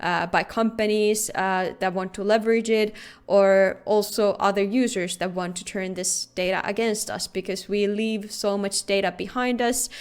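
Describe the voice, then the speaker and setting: A woman's voice: medium pitch, fairly even intonation, moderate pace, smooth. A woman from Finland, a native Finnish speaker, giving a prepared conference talk in an earnest way, with frequent fillers and restarts.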